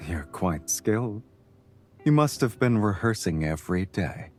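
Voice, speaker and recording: A man playing a suave, devoted lover who teases gently and intimately in a romantic audio drama. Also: animated, a little slow, soft background music. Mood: tender, teasing. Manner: low-key, teasing, intimate. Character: suave, teasing, devoted adult male lover.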